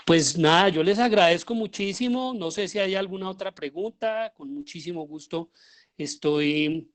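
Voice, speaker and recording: A middle-aged Colombian man speaks as a guest lecturer. His delivery is informal and reflective, with pauses as he looks for the right word. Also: high voice. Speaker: guest lecturer, theatre and radio drama director.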